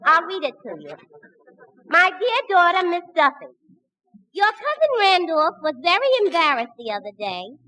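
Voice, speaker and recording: Very high, bright radio comedy sidekick. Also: female voice, wildly swooping pitch, moderate pace, full character voice. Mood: cheerful. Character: Mary, cheerful gag-cracking female sidekick. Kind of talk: 1940s radio comedy acting. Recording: noisy, reverberant vintage radio recording.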